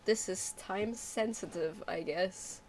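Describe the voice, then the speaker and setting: A woman's voice: mid-range voice, slow, a little hiss or hum. A woman streaming a game with casual, joking commentary and wry, slangy asides.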